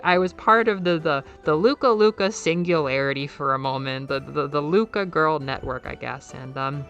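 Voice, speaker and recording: Soft airy young woman, excitable. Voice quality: soft, airy, slightly nasal voice. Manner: enthusiastic, excitable, jumps up in pitch when quoting; rambling with fillers and repeated words. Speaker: YouTuber. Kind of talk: casual video essay.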